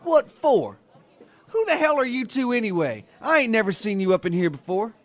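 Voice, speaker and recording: An actor in an audio drama playing a boisterous, folksy Irish-American barfly who loves being the center of attention. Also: male voice, high-pitched, big pitch swings, moderate pace. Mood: wary, defiant.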